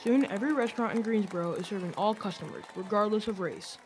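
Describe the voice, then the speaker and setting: Mid-pitched woman narrating a radio drama. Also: fast, darkish tone, a faint crowd, slight background noise.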